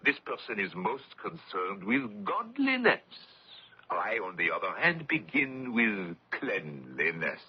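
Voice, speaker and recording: An actor playing a pompous, sadistic collaborator interrogator in a radio drama, with imperious, menacing, theatrical phrasing. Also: male voice, fairly low pitch, huge pitch swings, a little slow, full character voice. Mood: gloating cruelty. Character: cruel, pompous male French collaborator interrogator, sadistic and sneering. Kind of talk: 1970s radio drama acting. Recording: dull, noisy old radio broadcast recording.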